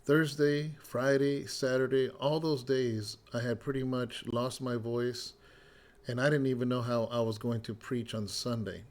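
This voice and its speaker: Hoarse, raspy-voiced pastor talking casually. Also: male voice, mid-range voice, a little slow. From California. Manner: relaxed, rambling storytelling with many fillers. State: slightly hoarse, recovering voice. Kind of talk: casual spoken devotional update.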